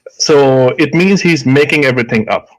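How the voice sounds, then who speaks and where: A man's voice: medium pitch, normal speed, rough recording. A man with an Indian accent, a doctor in a live debate, explaining his points hesitantly with many fillers and restarts.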